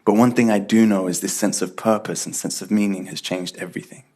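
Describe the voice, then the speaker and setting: A man's voice: fairly low pitch, fairly even intonation, fairly quick, darkish tone. A man, giving an earnest, reflective personal faith testimony. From Ethiopia and UK. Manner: reflective, earnest, builds in intensity. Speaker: testimony speaker.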